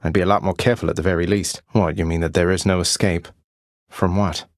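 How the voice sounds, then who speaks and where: A man's voice: low voice, steady tone, fast talker, no room sound. A man playing a young, rebellious underworld prince in a video game, with a British accent and a dry, polite, conversational manner. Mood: curious, earnest. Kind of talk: video game character dialogue.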